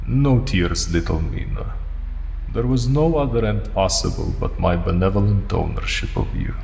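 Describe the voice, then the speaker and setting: An actor playing a commanding, gloating vampire lord in a radio play, pompous, possessive and menacing. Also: male voice, low-pitched, gravelly, a little mellow. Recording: long reverb, faint noise.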